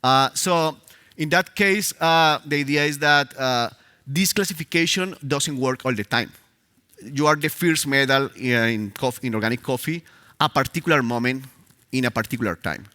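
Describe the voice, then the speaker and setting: A man's voice: mid-range voice, moderate pace, sharp tone. A man with a Spanish accent giving a live conference talk, hesitant, with many fillers and restarts, Spanish as his first language. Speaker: conference speaker. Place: conference stage.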